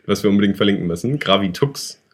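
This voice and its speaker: A young man with a somewhat low voice talks in a loose, rambling way, stumbling over fillers and restarting sentences as he jokes along. He sounds like a casual co-host chatting with a friend. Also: fast talker. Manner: rambling, hesitant, lots of fillers, joking. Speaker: podcast co-host. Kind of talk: casual chat.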